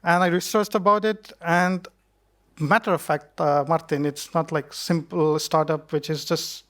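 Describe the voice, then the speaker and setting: A young man from Nepal, a guest speaker on a conference stage, chatting in a relaxed way, often laughing and searching for words. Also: a little high, unhurried. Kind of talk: live stage conversation.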